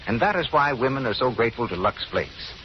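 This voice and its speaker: A man's voice: medium pitch, sing-song, fast talker. A man announcing a 1930s radio show and its sponsor message in a polished, formal, theatrical manner. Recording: muffled old radio recording, faint hiss.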